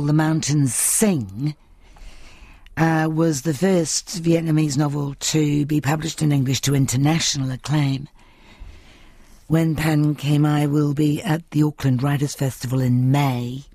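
Host, slow and measured, mid-pitched voice, dead-dry studio sound. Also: warm tone, dry recording. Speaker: radio interviewer. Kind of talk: scripted introduction and interview.

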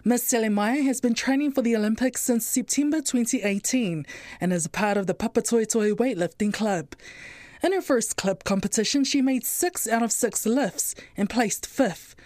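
A woman's voice: on the high side, normal speed, a little mellow, no room sound, clean studio sound. A woman narrating a scripted radio news report.

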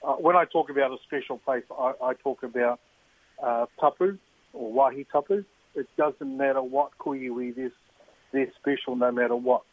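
New man, interviewee, level low-key delivery over a tinny phone line. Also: fairly even intonation, no room sound. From New Zealand. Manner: thoughtful, halting, with fillers. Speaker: trust general manager. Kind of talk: interview answers.

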